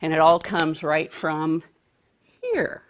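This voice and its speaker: Woman speaker at a live seminar, measured and deliberate, projects to the back and quizzes the audience. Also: low voice, very animated. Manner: projecting, asks the audience questions.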